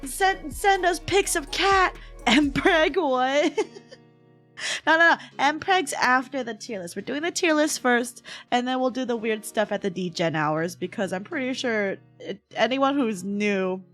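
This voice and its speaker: A woman with a fairly high voice that is hoarse and cracks as it gives out. She talks at an unhurried pace, playful and giggly, as she hosts a casual livestream and answers chat. Music plays behind her. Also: expressive, fairly bright tone. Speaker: livestream host, VTuber. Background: background music.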